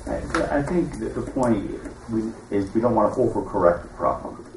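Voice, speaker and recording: A man's voice: lowish voice, expressive, moderate pace. Distant, echoey man from the audience. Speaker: audience member commenting. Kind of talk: spoken comment with personal example. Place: room with an audience. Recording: distant, echoey, noisy room pickup.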